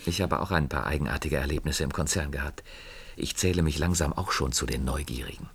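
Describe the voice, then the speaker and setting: Earnest company man, very low pitch, probing, thoughtful, radio drama delivery. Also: fairly quick, gravelly, slightly rough, full character voice. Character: earnest, inquisitive company man searching for missing friend. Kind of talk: German science fiction radio play acting.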